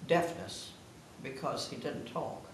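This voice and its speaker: A woman's voice: extremely low pitch, slow pace. A woman in her nineties telling family stories casually, in an anecdotal way, and given to laughing. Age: 90s. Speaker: guest of honour sharing family memories. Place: echoing room at a family gathering. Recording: very echoey room, distant mic, noisy.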